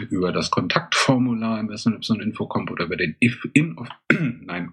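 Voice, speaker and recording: A man hosting a podcast in casual chat, brisk and joking as he runs through the outro. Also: lowish voice, breathy edge. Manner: brisk, joking, rattles off lists. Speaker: podcast host. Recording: noisy line with clicks.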